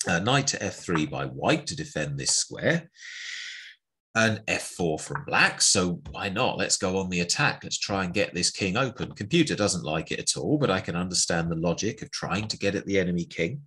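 A man's voice: low-pitched, expressive, normal speed, bright, forceful tone, breathy edge. A middle-aged man, teaching chess in a chatty, playful way as he calls out the moves one by one. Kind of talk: chess lesson narration over an on-screen board.

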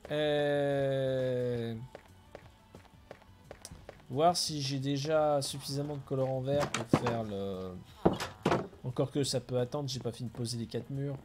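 A man's voice: medium pitch, fairly even intonation, takes it slowly, pauses often, over music. A middle-aged man chatting casually as he streams a building game, thinking aloud and chuckling often. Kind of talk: casual gameplay commentary.

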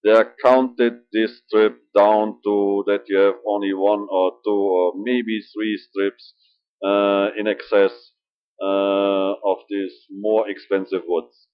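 German guy talking slow with lots of uhs, lowish voice, kinda muffled webcam mic. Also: flat delivery, no room sound. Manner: halting, many filler sounds and restarts. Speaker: model ship builder. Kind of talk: casual hobby vlog. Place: small room indoors. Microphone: webcam at desk. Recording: dull lo-fi webcam audio.

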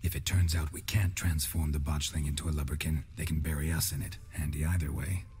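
An actor playing a stoic, cynical monster hunter in a video game, blunt and terse with a deadpan delivery. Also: male voice, very deep voice, fairly quick. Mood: dry, businesslike. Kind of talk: dramatic video game acting.

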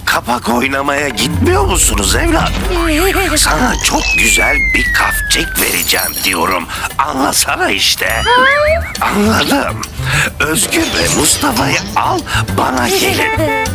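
A man's voice: extremely high pitch, wildly swooping pitch, a little slow, loud music behind the voice, heavily acted. A man voicing a kindly, playful old grandfather in a children's cartoon, whispering and coaxing, then telling stories of his childhood games. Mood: secretive, coaxing. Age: older. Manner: gravelly, drawling, whispering then exclaiming. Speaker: neighborhood grandfather.

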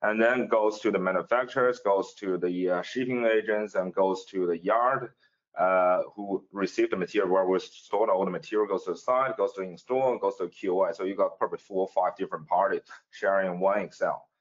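A man speaks on a panel over a video call, explaining things plainly with slightly non-native phrasing. His voice sits very low. The call audio is dull and lo-fi. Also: fairly even intonation, normal speed. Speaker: panelist, facade general manager.

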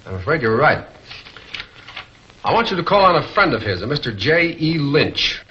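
An actor playing a decisive newspaper publisher who is also a masked crime fighter, in a 1940s serial. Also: male voice, a little high, big pitch swings, normal speed, slight background noise. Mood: businesslike. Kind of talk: 1940s film serial acting. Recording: muffled 1940s serial soundtrack, loud music.

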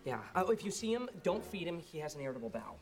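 A man's voice: a little high, very animated, racing, faint music behind. A young man playing a jealous, scheming teenage boy who talks in a whiny, petulant way. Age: young adult. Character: jealous, scheming teen boy with a pet tarantula.

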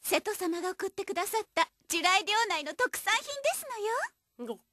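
A woman's voice: very high voice, moderate pace, sharp tone. A woman playing a prim, refined young princess in an anime radio drama, speaking very politely. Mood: prim, coaxing. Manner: very polite, genteel.

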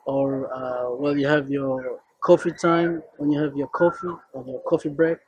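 A man's voice: mid-range voice, little pitch movement, normal speed, darkish tone, slightly rough, dry recording, highs cut off. A young man with a Kenyan accent, a friendly Swahili teacher introducing his course to camera on an outdoor rooftop, talking loosely with many fillers.

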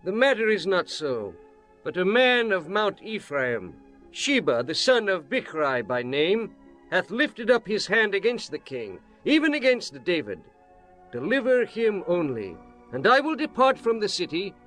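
An insistent army commander in a scripture drama. His voice is high and very animated, declamatory and indignant, with music behind it. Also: unhurried, full character voice. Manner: emphatic, declamatory.

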